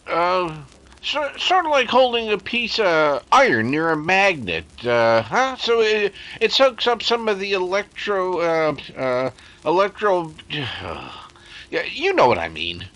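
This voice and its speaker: Folksy, bright man playing a detective's sidekick. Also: high voice, big pitch swings, slow, exaggerated voice. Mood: puzzled, fumbling. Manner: slangy, fumbling for words. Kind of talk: 1930s radio drama acting.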